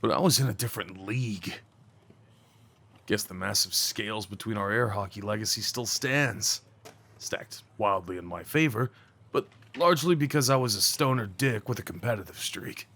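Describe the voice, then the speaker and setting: A man acting a young small-town guy, an awkward and tender old friend, in a casual, halting, intimate audio roleplay. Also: fairly low pitch, lively intonation, fairly bright tone. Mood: apologetic, regretful, then teasing. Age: young adult. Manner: halting, stammering, slangy, chuckling.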